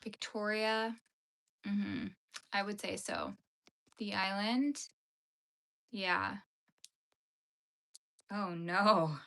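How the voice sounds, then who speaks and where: A woman's voice: mid-range voice, sing-song, drawn-out words, long pauses, a bit bright, low-quality recording. A young woman, chatting playfully with her livestream viewers while she crochets. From Canada. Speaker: livestream host.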